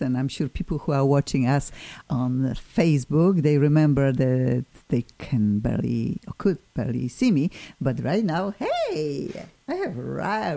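A woman's voice: a bass voice, mellow. A woman with a Polish accent hosting a casual talk show in a chatty, effusive, digressive way. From Poland. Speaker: radio show host. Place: radio studio.

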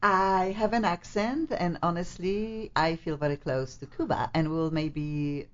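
A woman's voice: on the low side, steady tone, unhurried, lo-fi sound. Bubbly Polish-accented female co-host. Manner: bubbly, laughs often, broken English. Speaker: radio co-host. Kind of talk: casual radio chat. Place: radio studio.

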